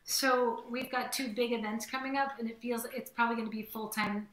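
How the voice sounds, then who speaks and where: Woman, singer-songwriter, casual rambling chat, bright voice, echoey home room. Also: a little high, steady tone, brisk, slight background noise. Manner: chatty, rambling, says "like" often. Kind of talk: casual chat on a video call. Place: home room with green wall.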